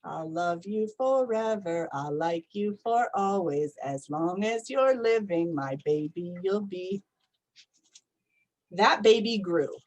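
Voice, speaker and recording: A woman reading a children's story aloud in a gentle, repetitive storybook cadence. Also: on the low side, lively intonation, slow pace.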